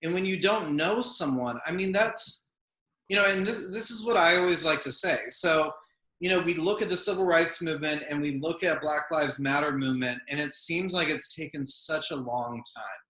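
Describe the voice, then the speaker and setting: A man moderates a panel discussion in a thoughtful, measured way, often softening his points with "I think" and "you know." His voice is bright and fairly high. It rings in an echoey room. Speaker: session moderator.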